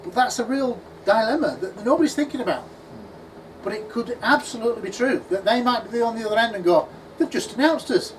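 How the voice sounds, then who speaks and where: Animated man speaking at a conference. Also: very high-pitched, a little fast. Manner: animated storytelling, acts out imagined voices. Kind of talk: conference talk to an audience. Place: pub function room. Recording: distant voice with faint room noise.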